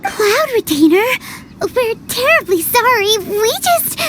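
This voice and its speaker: A woman voicing a tiny, excitable, childlike fairy companion in a video game. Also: takes it slowly, background noise, exaggerated voice. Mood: anxious. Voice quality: squeaky, childlike. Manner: excitable, speaks of herself in third person. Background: background music.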